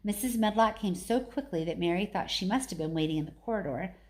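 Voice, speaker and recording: A woman's voice: mid-range voice, animated, quick pace, a little mellow. Woman reading a children's novel aloud. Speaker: story reader. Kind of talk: expressive read-aloud storybook narration.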